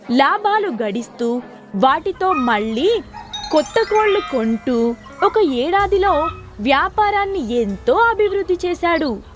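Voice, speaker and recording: A woman narrating a scripted moral story for children in an expressive, clearly phrased storytelling manner. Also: very high-pitched.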